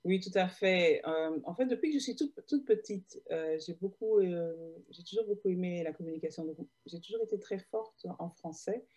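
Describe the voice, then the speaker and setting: Belgian woman, interview guest, low mellow voice, halting with fillers, video call. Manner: hesitant, many fillers and restarts. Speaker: guest, digital marketer. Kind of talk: casual interview answers.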